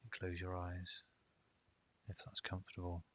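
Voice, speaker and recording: A man narrating a guided meditation in a calm, measured way with long pauses. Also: deep voice. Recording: dull lo-fi audio with hiss.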